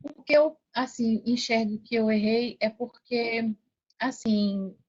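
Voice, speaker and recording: A Brazilian woman talking casually as a podcast guest, telling her experiences in an animated way and often seeking agreement. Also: on the high side, slow, slightly rough. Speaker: podcast guest, affiliate seller. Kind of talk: casual conversational storytelling. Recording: dull, band-limited sound.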